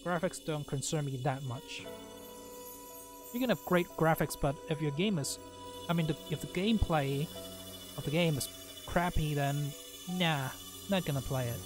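A man's voice: a little high, normal speed, pauses often, music in the background. A man giving casual, rambling and blunt commentary as he hosts a gameplay video. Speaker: gaming video host playing and commenting.

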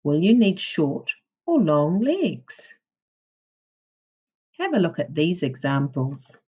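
A woman with a low, husky voice reads aloud as a primary school teacher would to young children. Her pace is unhurried and her intonation rises and falls in a very animated way, with careful, instructional phrasing. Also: lo-fi sound. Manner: deliberate, instructional, questioning delivery for young children. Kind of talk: reading a children's non-fiction book aloud.

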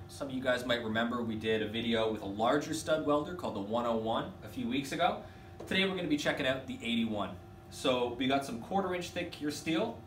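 A man's voice: mid-range voice, a little hiss or hum. Casual man in a roomy workshop. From Canada. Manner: relaxed, chatty, hands-on while demonstrating. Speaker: product demonstrator for a welding company. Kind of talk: casual product demo. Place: workshop with welding equipment, indoors. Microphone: camera mic from a few feet away. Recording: roomy workshop echo from a distance.

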